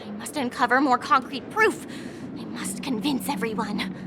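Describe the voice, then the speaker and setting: A high-voiced, eager young woman scholar, earnest and formal as she explains. There is clear noise behind her. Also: normal speed. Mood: determined. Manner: enthusiastic, formal, expository. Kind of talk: video game voice acting.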